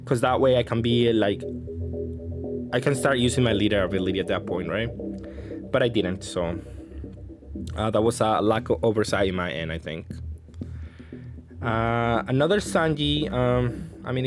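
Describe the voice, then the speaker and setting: Male gamer, mid-pitched voice, casual gameplay commentary, thinking aloud, clear background music. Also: moderate pace, long pauses. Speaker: card game player and commentator.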